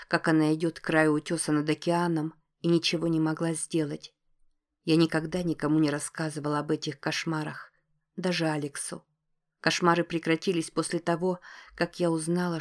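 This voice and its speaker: A woman narrates a first-person novel. Her voice sits fairly low and keeps to a narrow, steady pitch, in a scripted audiobook style. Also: moderate pace.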